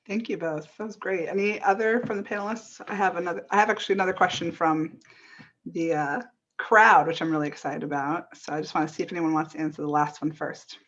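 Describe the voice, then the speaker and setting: A woman's voice: lowish voice, steady tone, fast, a bit bright. A woman moderating a panel, friendly and reading out audience questions. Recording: dull lo-fi audio.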